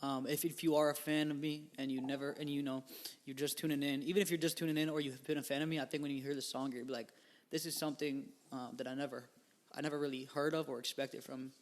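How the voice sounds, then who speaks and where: A young man in his twenties sits in as a podcast guest and talks loosely, stringing thoughts together with fillers and slang. His voice sits at an ordinary middle pitch. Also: level tone, quick pace. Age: 20s. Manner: rambling, filler-heavy, laid-back slang. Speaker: guest musician. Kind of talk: casual podcast interview.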